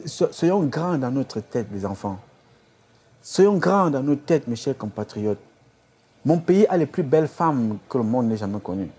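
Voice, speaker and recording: A man's voice: on the high side, dark tone, breathy edge. A man from Cameroon, an activist leader giving an impassioned address to his followers, full of repeated exhortations. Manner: exhorting, repetitive rhetorical appeals.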